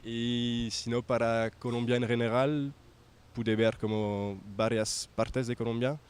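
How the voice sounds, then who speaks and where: A young man from France with a French accent, a native French speaker, giving an informal testimonial as a student and haltingly searching for words in a second language. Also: mid-range voice, little pitch movement, darkish tone, clear, resonant tone. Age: young adult.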